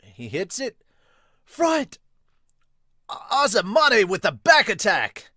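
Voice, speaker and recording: Man acting anime-style match announcer, raised pitch, loud punchy calls, urgent, excited, dry recording. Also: slow, breathy edge, dry recording, full character voice.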